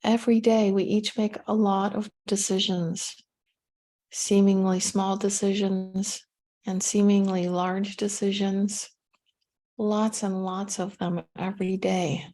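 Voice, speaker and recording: A woman leading a guided meditation, giving gentle, unhurried instructions with pauses between phrases. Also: mid-range voice, level tone.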